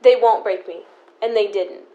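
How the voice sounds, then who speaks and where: A young woman playing a stubborn, embittered, protective sister in an audio drama, with deliberate, resentful delivery. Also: mid-range voice, muffled recording, natural voice. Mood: bitter, resolute. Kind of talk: high school audio drama acting.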